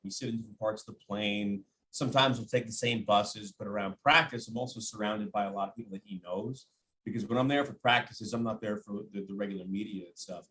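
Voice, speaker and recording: A man's voice: lowish voice, quick pace, fairly bright tone, rough recording. A man talking basketball as a radio announcer guest, conversational and emphatic, repeating phrases for stress and voicing imagined quotes. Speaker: sports radio play-by-play announcer, podcast guest. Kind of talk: animated basketball analysis in casual conversation.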